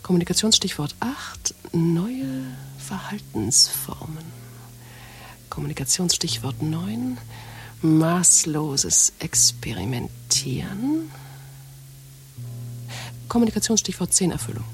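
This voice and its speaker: An actress playing a shy young woman who dictates her romantic wishes, hushed and hesitant, correcting herself. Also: on the low side, sing-song, slow, faint music behind, full character voice. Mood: dictating. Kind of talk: radio play acting.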